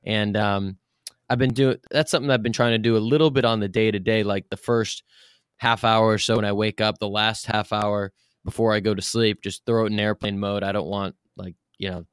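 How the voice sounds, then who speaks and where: A man hosts an interview in an informal, chatty style. His voice is on the low side, recorded dry with little room sound. He talks loosely, often dropping in "like" as he goes. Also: steady tone, a little fast, clean tone, no echo. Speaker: podcast host, interviewer. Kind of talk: casual interview chat.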